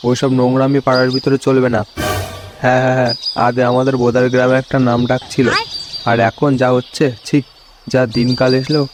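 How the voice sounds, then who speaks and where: A man's voice: medium pitch, level tone, fairly quick, over music, natural voice. A man voicing disapproving, gossiping village men who grumble and moralize in a comedy cartoon.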